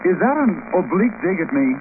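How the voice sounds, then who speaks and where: An actor playing a defensive young tennis player in a radio drama, edgy and indignant, tripping over repeated words. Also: male voice, sits high, sing-song, quick pace. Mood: defensive, wary. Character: nervy, defensive young male tennis athlete. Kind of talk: 1950s radio detective acting. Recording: noisy, tinny old radio recording.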